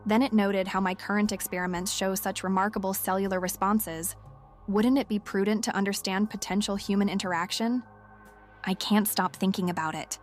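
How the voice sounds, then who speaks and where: Actress as woman scientist doing a lab log, kinda scared and obsessed, halting and intense, bit of music behind. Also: mid-range voice, steady tone, close to an everyday voice. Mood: uneasy. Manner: hesitant, confiding, intense. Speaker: voice actor in audio drama. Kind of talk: dramatic monologue as spoken diary. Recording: music under the voice.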